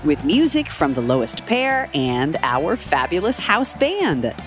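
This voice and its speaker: Playful woman announcer with a lively, slightly scratchy voice over faint music. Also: sits low, a little slow. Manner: playful, laughs easily. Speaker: radio show announcer. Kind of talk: casual banter and announcing.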